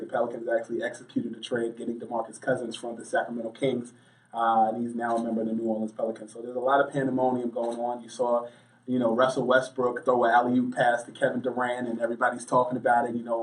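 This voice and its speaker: American man talking in a roomy space. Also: mid-range voice. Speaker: interview guest, sports journalist. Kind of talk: conversational interview answers. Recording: roomy, distant mic.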